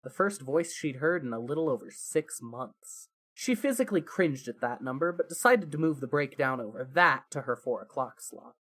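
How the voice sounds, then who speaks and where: A narrator reading scripted fan fiction as a dramatic story, with deliberate pauses. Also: medium pitch, animated, fairly bright tone.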